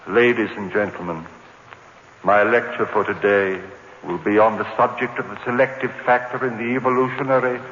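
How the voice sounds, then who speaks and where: An actor playing a tormented, guilt-ridden lecturer haunted by voices, in melodramatic old-time radio horror style. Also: male voice, on the low side, a bit bright. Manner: melodramatic, repeating words obsessively. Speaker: radio drama actor and narrator. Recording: dull, noisy old radio recording.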